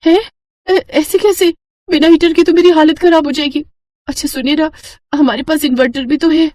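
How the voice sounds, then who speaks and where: Woman playing a high, plaintive young daughter-in-law. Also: steady tone, moderate pace, a little mellow, lightly acted. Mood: whining, alarmed. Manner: plaintive, whiny. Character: young city-bred new daughter-in-law, shivering and complaining. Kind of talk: cartoon moral story acting.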